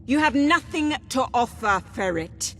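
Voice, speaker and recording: A woman's voice: high-pitched, expressive, measured pace. A woman playing a resolute, businesslike rebel leader in a video game, speaking in an earnest, firm, plain-spoken way. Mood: stern. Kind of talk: dramatic video game voice acting. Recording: echoey hall, faint noise.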